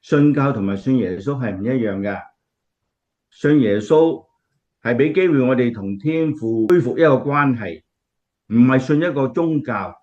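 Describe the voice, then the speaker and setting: A man's voice: medium pitch, lively intonation, moderate pace, a little mellow, lightly acted. Man playing an earnest Cantonese preacher, slightly muffled. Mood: explaining. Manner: explanatory, earnest, emphatic. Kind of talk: radio drama acting, sermon-like.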